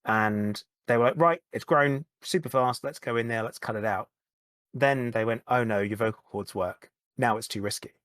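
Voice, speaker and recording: A man, a podcast guest, talking candidly in a halting way, often repeating words and starting over. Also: mid-range voice, fairly bright tone, no room sound. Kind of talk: candid conversational chat.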